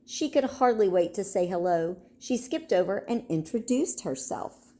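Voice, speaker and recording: A woman narrating a children's storybook in an expressive, storytelling manner. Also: medium pitch, normal speed, slight background noise.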